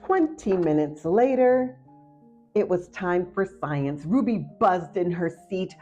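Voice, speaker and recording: A woman narrating a children's picture book aloud in an expressive storytelling style. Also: fairly low pitch, slow pace. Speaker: storybook reader. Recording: muffled audio with faint music behind.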